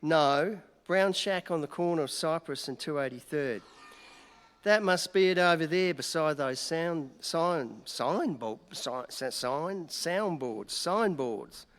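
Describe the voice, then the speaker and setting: Man acting high-voiced tipsy reporter, hall echo. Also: animated, slow pace, fairly bright tone. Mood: tipsy, bossy. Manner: mock-grand, theatrical. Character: tipsy, sardonic male newspaper reporter, mock-dutiful. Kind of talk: comic stage radio-play acting. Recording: reverberant hall.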